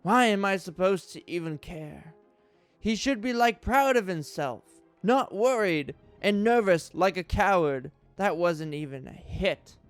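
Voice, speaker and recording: A man's voice: high-pitched, animated, measured pace, clean tone, no echo, soft background music. A man hosting a mock dramatic reading in a theatrical, exaggerated tone of outrage. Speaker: YouTube host reading a list.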